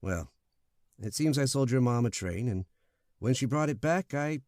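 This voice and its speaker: A man playing a kindly, wry, easygoing fellow in an old-time radio drama, folksy and gently teasing. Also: medium pitch, animated, normal speed, dry recording, clean studio sound, lightly acted.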